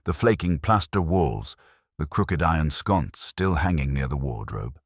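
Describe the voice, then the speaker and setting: Very deep-voiced man narrating a mystery. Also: no room sound, clean studio sound. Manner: measured, literary storytelling. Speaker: audiobook narrator. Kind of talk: scripted narration of a detective story.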